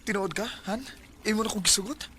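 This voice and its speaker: A man's voice: high-pitched, fairly even intonation, fast talker, close to an everyday voice. Young Bisaya suitor, acted by a man, noisy recording. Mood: wooing, flattering. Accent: Filipino, Cebuano Bisaya. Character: J R, smooth, flattering young suitor eager to marry. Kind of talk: Bisaya radio drama acting.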